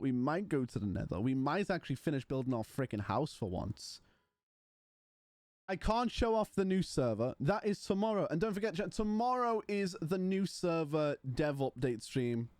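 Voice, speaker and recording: A man chats with his stream audience, teasing and playful. His voice sits a little high for a man. The sound is dry and close, with no room echo. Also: darkish tone. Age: 20s. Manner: banters with chat, mocking and playful. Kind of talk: casual livestream chat.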